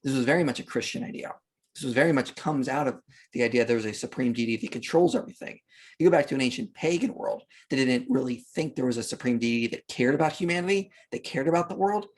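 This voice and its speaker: A man with a husky voice at a medium pitch talks as a podcast guest. He explains history and religion conversationally, leaning on repeated phrases to drive his points home. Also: quick pace, a little mellow.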